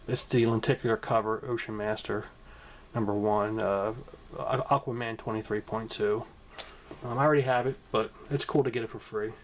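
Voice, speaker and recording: A man's voice: medium pitch, a little hiss or hum. A man casually talking to camera about his comic book haul, rambling with many ums and restarts, in a room at home. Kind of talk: casual vlog talk to camera.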